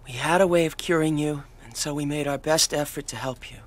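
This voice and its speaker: A man's voice: on the high side, brisk, close to an everyday voice. A man playing an earnest, apologetic young knight in a fantasy video game, with a hesitant, kind way of talking. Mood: earnest, gentle. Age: young adult. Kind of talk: video game cutscene acting.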